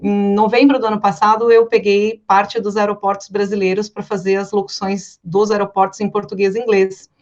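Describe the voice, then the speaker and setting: A woman's voice: medium pitch, little pitch movement, brisk, hardly any pauses, darkish tone. A Brazilian woman, a professional voice-over artist, chatting casually and explaining her work from experience. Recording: slightly dull, muffled audio.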